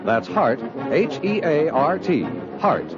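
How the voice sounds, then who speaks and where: Animated 1950s male radio announcer. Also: a little high, slow. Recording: dull 1950s radio recording, music behind.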